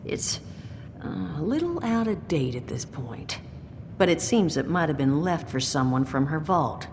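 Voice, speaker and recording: An actress voicing a female guide in a video game, speaking in a measured, informative way. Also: low voice, very animated, a little mellow. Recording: game audio with long reverb and noise.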